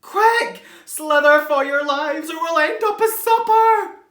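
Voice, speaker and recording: A man voicing fretful little snails from a storybook, exclaiming urgently in a shrill voice. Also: lively intonation, slow, bright, forceful tone, heavily acted. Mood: panicked. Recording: roomy, echoing indoor sound.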